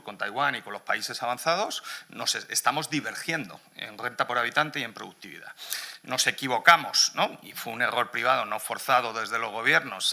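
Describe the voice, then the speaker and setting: Bright, forceful Spanish man speaking on a panel. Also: mid-range voice. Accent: Spain Spanish. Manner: colloquial, blunt, peppered with question tags. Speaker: panel speaker at a business conference. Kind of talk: informal, off-the-cuff panel talk on economics.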